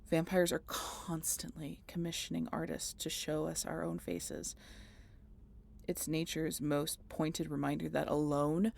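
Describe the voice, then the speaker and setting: A woman's voice: deep voice, creaky, a little mellow, full character voice. A woman playing a snarky, teasing female vampire in an audio roleplay, talking to the listener in a wry, conversational way. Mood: musing. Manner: sardonic, wry, playful, conversational. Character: snarky, swearing female vampire road-tripper, teasing and dramatic.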